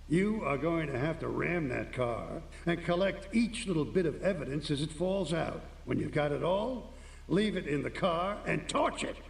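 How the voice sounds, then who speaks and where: Crooked game cop: high, swooping gravelly voice, agitated and conspiratorial, streetwise, scheming. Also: male voice. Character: jittery corrupt middle-aged cop, scheming and foul-tempered. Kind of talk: video game cutscene acting. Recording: roomy, distant, faint noise.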